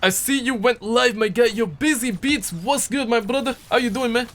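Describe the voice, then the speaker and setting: A man's voice: very high voice, moderate pace, fairly bright tone. A young man from Pakistan, chatting casually on a livestream and answering viewer comments in a rambling, playful way that repeats words. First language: Urdu.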